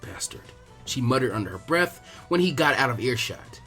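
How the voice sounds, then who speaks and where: A man's voice: mid-range voice, big pitch swings, a little fast, fairly bright tone, soft background music. A man narrating fan fiction as a scripted story reading.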